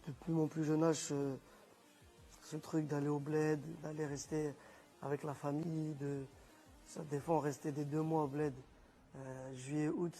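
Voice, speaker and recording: A man's voice: on the high side, little pitch movement, normal speed, dark tone, soft background music. A footballer from France answering interview questions earnestly, repeating words as he searches.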